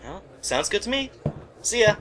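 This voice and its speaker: A man's voice: high-pitched, sing-song, normal speed, background noise. A man playing a casual, slightly hesitant friend of an investigator in an amateur radio play. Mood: reluctant.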